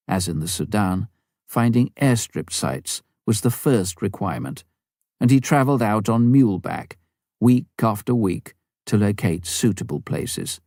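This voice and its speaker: A man reading a memoir as an audiobook, in measured, clearly phrased scripted narration. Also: lowish voice, a little mellow, no echo, clean studio sound. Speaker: audiobook reader.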